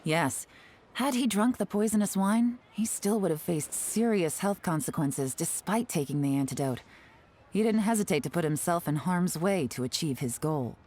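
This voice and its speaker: A woman's voice: lowish voice, expressive, normal speed, warm tone. A woman voicing a composed, calculating female spy in a video game, speaking in a wry, self-assured way. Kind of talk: game cutscene dialogue.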